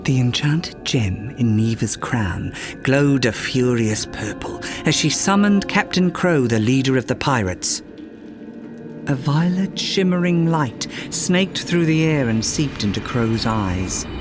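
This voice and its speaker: A man reading a children's fairytale aloud as a storyteller, in expressive scripted narration. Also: medium pitch, takes it slowly. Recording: music behind the voice. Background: music.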